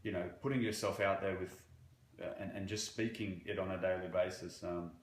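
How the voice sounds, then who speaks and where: A man from Croatia working as a banking relationship manager, answering interview questions in a halting way with many fillers. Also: deep voice, fairly even intonation, roomy sound, a little hiss or hum. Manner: halting, with frequent fillers and restarts.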